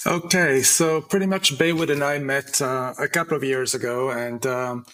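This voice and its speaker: A man's voice: mid-range voice, steady tone, moderate pace, fairly bright tone. A middle-aged man, a music industry guest, telling his story casually in an interview. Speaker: music publisher and manager guest.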